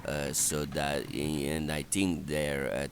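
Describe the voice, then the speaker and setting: A man's voice: a bass voice, flat delivery, slow pace, a little hiss or hum. A man giving an unscripted strategy game walkthrough in a hesitant, step-by-step instructional manner. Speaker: gameplay guide narrator.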